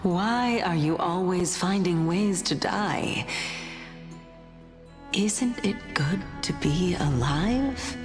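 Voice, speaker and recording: Slow, solemn ancient goddess. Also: female voice, on the low side, animated. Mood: reproachful. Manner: slow, measured, solemn questioning. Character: ancient female godlike being, patient and gently reproachful. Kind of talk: dramatic video game voice acting. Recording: long reverb, music underneath.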